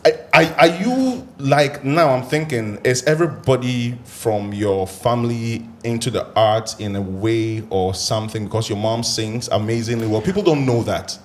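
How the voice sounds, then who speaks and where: A man's voice: mid-range voice, moderate pace, hardly any pauses, a little hiss or hum. Playful, stammering man hosting a podcast. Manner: excitable, playful, stammers and repeats words. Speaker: podcast host. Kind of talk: casual podcast interview.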